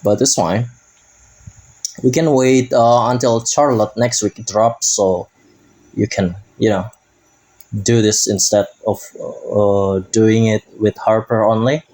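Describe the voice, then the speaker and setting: A man casually walking through a game update as a gaming YouTuber, talking in halting English and searching for his words. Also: on the low side, fairly even intonation, a little mellow, low-quality recording.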